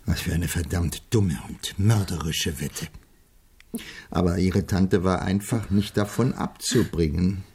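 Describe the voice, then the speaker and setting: An earnest gentleman in a radio play. His voice is low and rough-edged, and he speaks formally, with grave, polite reproach. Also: unhurried, full character voice. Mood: grave, remorseful. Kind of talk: German radio drama acting.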